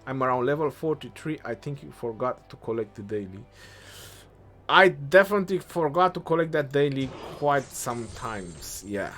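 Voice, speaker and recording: A young man with an Eastern European accent, talking at an ordinary middle pitch. He answers viewer questions in a relaxed, think-aloud way, with restarts and trailing phrases. Soft music plays quietly behind him. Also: moderate pace. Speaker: game streamer. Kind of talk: casual live-stream Q&A reading chat.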